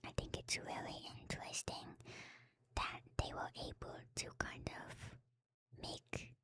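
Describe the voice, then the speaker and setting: A woman streamer speaking in a soft, breathy ASMR whisper, gentle and musing as she reads lore and comments casually, softly repeating phrases. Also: a little slow, no echo, studio quality. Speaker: streamer and host.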